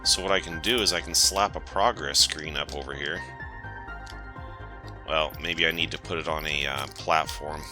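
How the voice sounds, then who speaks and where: A man's voice: very deep voice, moderate pace, over music. A man with a cold hosting a gaming channel, chatting casually and thinking aloud as he plays. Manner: relaxed, thinking aloud while playing. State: has a cold. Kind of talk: casual let's-play commentary.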